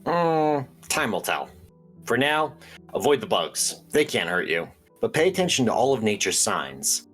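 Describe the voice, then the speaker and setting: A man's voice: mid-range voice, very animated, a little slow, a bit bright, breathy edge, soft background music, exaggerated voice. A man playing a matter-of-fact host of a paranormal call-in radio show. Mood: musing, matter-of-fact. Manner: matter-of-fact about bizarre things. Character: folksy conspiracy radio host of weird small-town stories.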